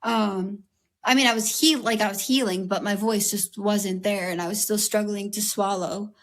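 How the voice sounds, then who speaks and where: A young American woman, a guest in an interview, speaking candidly in an earnest, conversational way with a slightly raspy voice and many "like"s and "um"s. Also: medium pitch, fairly even intonation, normal speed. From United States. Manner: earnest, heavy use of "like" and "um".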